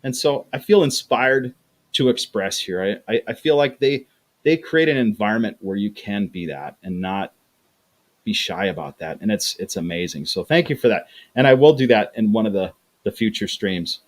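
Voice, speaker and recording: A man's voice: on the low side, lively intonation, normal speed. Chatty man hosting a livestream. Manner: chatty, repeats words, acts out quoted voices. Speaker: livestream host reading chat. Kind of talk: casual livestream chat.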